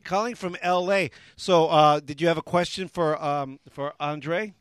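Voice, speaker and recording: A man's voice: on the high side, fairly even intonation, a little slow, smooth, no room sound, clean studio sound. A man hosting a talk show, interviewing guests and callers in a casual radio style.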